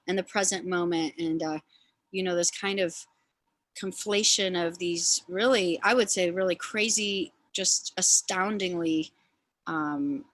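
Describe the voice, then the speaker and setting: Effusive American woman, lowish dry voice. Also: a bit bright, dry recording. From US West Coast. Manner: effusive, rambling. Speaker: interviewer, film director. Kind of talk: casual admiring conversation. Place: remote online video call.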